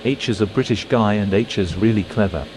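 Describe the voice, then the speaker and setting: Male TTS chat reader, deep-ish and flat, faint background noise. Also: brisk. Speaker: text-to-speech chat reader. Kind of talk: synthetic reading of viewer messages.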